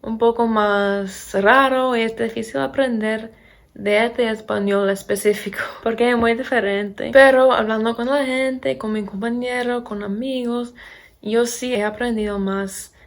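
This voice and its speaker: Young woman, american accent, slow careful delivery, gravelly creak, halting, searching for words. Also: fairly high pitch, steady tone. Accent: American English accent in Spanish. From Pennsylvania, USA. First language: English. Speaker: vlogger and English teacher. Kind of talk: casual chat to camera.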